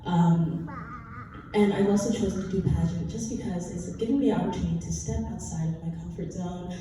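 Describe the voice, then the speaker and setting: A woman's voice: lowish voice, a little fast, music in the background. A nervous young woman answering a question on stage as a pageant contestant, laughing lightly between phrases. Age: young adult. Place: large hall. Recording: echoey hall from far off, noisy.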